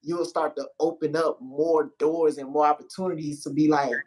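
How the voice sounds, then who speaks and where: A host in a relaxed conversation who talks loosely, searching for words and acting out what they say to themselves. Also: mid-range voice, normal speed, a little hiss or hum. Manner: loose, searching speech, acts out inner dialogue. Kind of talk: casual conversation.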